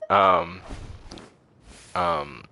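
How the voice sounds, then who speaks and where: A man's voice: deep voice, fairly even intonation, very slow, gravelly, pushed and bright. A man streaming a game live, chatting casually with slangy, profane, playful reactions. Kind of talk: casual livestream chat over gameplay.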